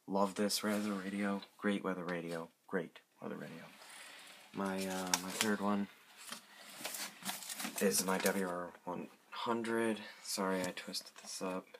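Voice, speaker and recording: Casual hobbyist show-and-tell: man, low flat voice, rambling and repetitive, faint noise on an amateur recording. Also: slow, vocal fry. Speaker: hobbyist showing a weather radio collection.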